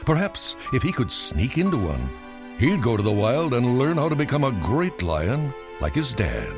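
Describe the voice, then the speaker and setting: A man's voice: mid-range voice, huge pitch swings, normal speed. A man reading a scripted children's read-along story as its narrator. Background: music.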